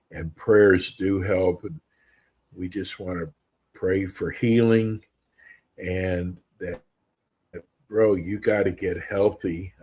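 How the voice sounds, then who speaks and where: A man's voice: low voice, darkish tone, breathy edge. A man in his sixties hosting a casual radio talk show, upbeat and earnest in a folksy way. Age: 60s.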